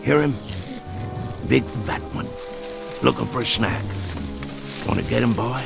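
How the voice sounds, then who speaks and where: A man's voice: medium pitch, a little slow, creaky, over music. An actor playing an old rural American hunter in a radio drama, folksy and rambling, talking fondly to his hound. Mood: easygoing, reminiscing. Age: older.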